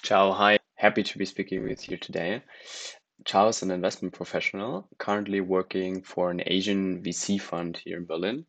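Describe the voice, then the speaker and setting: A man's voice: low voice, level tone, moderate pace, creaky, fairly bright tone, dry recording. A man hosting a podcast, interviewing guests in a conversational style. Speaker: podcast host.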